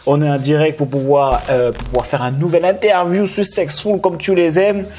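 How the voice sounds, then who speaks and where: A man in his thirties hosting a live interview over a video call, chatty and relaxed, with many fillers and restarts. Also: a little high, moderate pace, slight background noise. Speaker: interview host. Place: indoor room with lamp and wall art. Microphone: webcam on video call.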